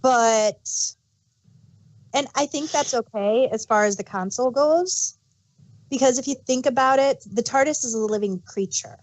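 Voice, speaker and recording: A woman's voice: a little high, unhurried, poor audio. Woman casually explaining, hesitant and self-correcting. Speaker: podcast co-host. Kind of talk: casual fan discussion.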